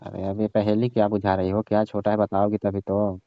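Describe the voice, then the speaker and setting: A man's voice: on the low side, monotone, a little fast, no room sound, lightly acted. Man playing father-in-law, prodding, suggestive, colloquial, comic phone skit, dry muffled recording. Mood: curious, prodding. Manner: prodding, colloquial, mock-innocent.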